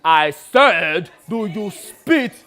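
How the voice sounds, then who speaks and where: A man playing a pompous, outraged African warlord general, booming and theatrical in his indignation. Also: animated, very slow, sharp tone, faint music behind, heavily acted. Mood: outraged, imperious.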